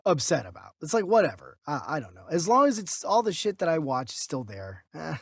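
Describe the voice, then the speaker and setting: High-pitched, animated male podcast co-host. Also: fairly quick, breathy edge, dry recording, clean studio sound. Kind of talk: casual conversational reactions and commentary.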